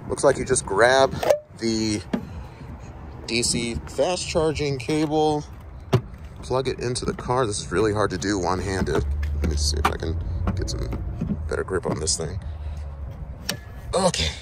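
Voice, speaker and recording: Male car vlogger, thirties, fairly low voice, conversational explainer delivery, recorded handheld with plainly audible ambient noise. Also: unhurried, pauses often. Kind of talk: casual vlog narration to viewers. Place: inside a moving car, later outdoors at a charging station. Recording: noisy handheld recording with vehicle or street noise.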